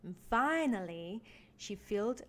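A woman reading a children's picture book aloud as a teaching artist, with expressive emphasis for young listeners. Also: medium pitch, a little slow. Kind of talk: animated children's storybook read-along.

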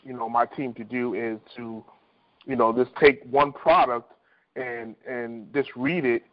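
A man giving informal team coaching on a conference call over the telephone, conversational and repeating himself. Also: medium pitch. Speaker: insurance agent team leader. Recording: dull, lo-fi conference phone line.

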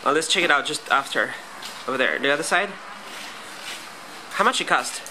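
A man's voice: on the high side, lively intonation, moderate pace. A man interviewing casually on a walk-around tour, inquisitive, with short, repeated questions. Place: busy small factory and office. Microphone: handheld camera, speaker behind it. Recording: faint workplace noise.

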